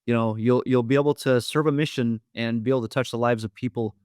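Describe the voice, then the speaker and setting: A man from Idaho speaks as a podcast guest, earnest and a little halting. He often restarts his sentences and leans on fillers, and the recording sounds dry and close. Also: medium pitch, steady tone, darkish tone, clean tone, dry recording. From Idaho, USA. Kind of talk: storytelling interview.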